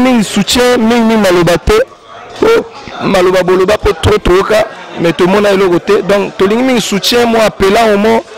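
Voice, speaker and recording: Gravelly high-voiced Congolese man. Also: low-quality recording. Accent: Congolese French. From Congo-Brazzaville. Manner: rambling, repetitive, shout-outs. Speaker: guest artist. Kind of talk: interview in Lingala and French.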